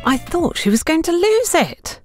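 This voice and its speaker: A woman's voice: very high-pitched, big pitch swings, a little slow, soft background music, voice pushed up, full character voice. A woman voicing a young saleswoman in an audiobook, chatty, candid and emphatic. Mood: amazed. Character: young shop saleswoman, candid, animated, gossipy.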